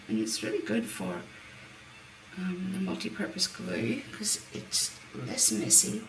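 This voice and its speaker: A woman hosting a card-making demonstration, chatting through each step with a croaky voice. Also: fairly low pitch. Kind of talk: casual craft demonstration. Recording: roomy, mic at a distance, faint background noise. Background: birds outside.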